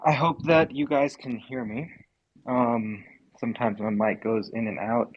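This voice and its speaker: Over a dull, low-fidelity mic with a dry, close sound, a young American man from Indiana speaks earnestly and emphatically. He often pauses on filler ums while he builds his points. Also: fairly even intonation, husky, no echo. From Indiana, USA. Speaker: speaker in a live audio space. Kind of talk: impassioned political commentary.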